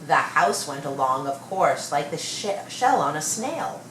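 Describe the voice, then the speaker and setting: A woman reading a children's story aloud with expressive, measured storytelling. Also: low-pitched. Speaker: storybook reader. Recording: roomy, distant mic, faint noise.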